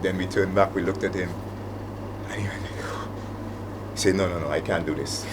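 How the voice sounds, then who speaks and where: Deep-voiced Saint Lucian man, noisy room. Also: fairly even intonation, fairly quick. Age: middle-aged. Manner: conversational, anecdotal. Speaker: panelist, aviation operations manager. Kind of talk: reflective panel talk with anecdotes.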